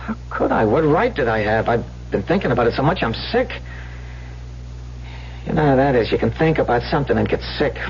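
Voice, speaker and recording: An actor playing a small-time swindler pleading with his sweetheart in an old radio drama, speaking in halting, repetitive street slang. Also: medium pitch, very animated. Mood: self-pitying, troubled. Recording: dull, hissy vintage radio recording with hum.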